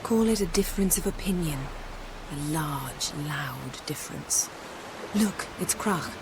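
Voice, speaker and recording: An actress playing a haughty, sardonic sorceress in a video game, speaking in an imperious, articulate way. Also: female voice, sits low, animated, a little slow, noisy background. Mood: commanding, wry. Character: haughty, sardonic sorceress, poised adult woman. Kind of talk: video game cutscene acting.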